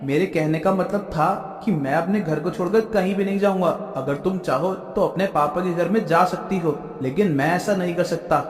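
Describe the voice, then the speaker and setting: A man's voice: sits high, moderate pace, few pauses, natural voice. A man voicing a loyal, humble young husband in a cartoon story, speaking firmly and steadily. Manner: firm, steady. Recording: echoey room with long reverb.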